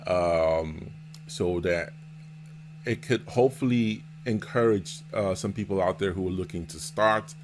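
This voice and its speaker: A man hosting a casual vlog interview as a seller and mentor, explaining things in a halting, repetitive way. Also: deep voice. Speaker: host, Amazon seller and mentor. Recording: noticeable background noise.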